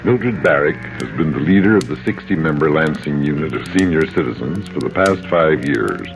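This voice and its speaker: A man's voice: rumbling low, expressive, normal speed, over music. An American man reading scripted narration for a local radio feature.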